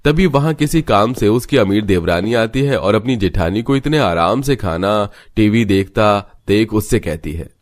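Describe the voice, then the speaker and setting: Low-voiced male story narrator. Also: animated, normal speed, hardly any pauses. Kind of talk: scripted cartoon story narration.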